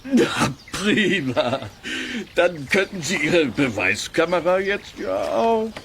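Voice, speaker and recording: A man's voice: sits high, very animated, slow, noisy background, full character voice. A man playing a sheepish, scheming but genial innkeeper in a children's audio play, hesitant and wheedling. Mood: pleased. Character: sheepish, scheming male innkeeper, jovially persuasive. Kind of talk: German children's radio play acting.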